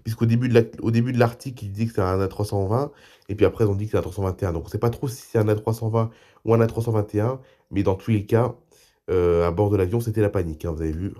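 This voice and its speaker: A man hosting a homemade aviation news show while stuffed up with a cold, so he keeps his voice low and soft. His tone is mellow and dark, and he moves between reading articles and chatting loosely with his viewers. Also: fast. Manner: reads articles, then adds loose spoken asides. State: has a cold, speaks softly. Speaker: YouTube news show host.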